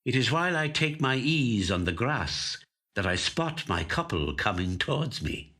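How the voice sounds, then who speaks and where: An actor voicing an aging, watchful, covetous magpie in measured, deliberate first-person literary storytelling. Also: male voice, medium pitch, sing-song, pushed and bright. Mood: weary, resigned. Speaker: actor reading a short story. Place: recording studio.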